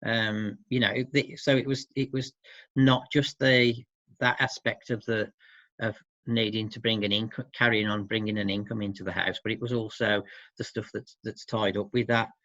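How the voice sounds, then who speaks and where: Male interviewee, lowish voice, thoughtful and conversational with hesitations, slightly dull lo-fi recording. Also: level tone, normal speed, pushed and bright. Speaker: interviewee, support service worker for dads. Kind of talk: informal interview answers. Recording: muffled, roomy lo-fi sound.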